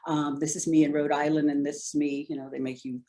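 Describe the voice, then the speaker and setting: A woman's voice: low voice, little pitch movement, fast talker, warm tone. A woman from the Bahamas giving an academic lecture over a video call, talking conversationally and thinking aloud with frequent fillers. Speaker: invited lecturer.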